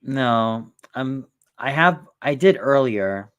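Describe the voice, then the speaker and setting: A man's voice: medium pitch, expressive, slow, a bit bright, smooth. A young man chats casually as the host of a stream. He rambles and often circles back with fillers before landing on his point. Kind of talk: casual chat.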